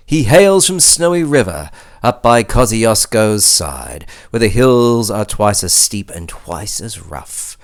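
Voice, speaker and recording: A low-pitched Australian man recites poetry with theatrical flair. His delivery is slow and his pitch moves widely, swelling on key phrases and sinking to hushed endings. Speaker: poetry reader. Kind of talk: dramatic recitation of a bush ballad.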